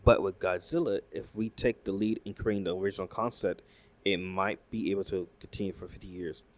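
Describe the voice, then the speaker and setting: A man with a fairly low voice, talking casually as he reacts to news. He rambles with excitement, restarting sentences and leaning on fillers. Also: a little fast. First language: not Japanese. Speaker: YouTube commentator. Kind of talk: casual reaction commentary reading news aloud.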